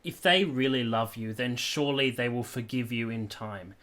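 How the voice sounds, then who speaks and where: A man's voice: medium pitch, moderate pace, fairly bright tone, close to an everyday voice. An actor playing an ardent, stubborn young man in love in a period radio drama, earnest and pleading with formal phrasing. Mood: persuasive. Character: ardent, stubborn young male lover from a village.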